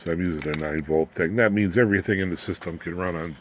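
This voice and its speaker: A man's voice: sits low, quick pace. A man presenting an informal hands-on electronics demonstration in a folksy, rambling, plain-spoken way. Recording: clear background noise, room ambience.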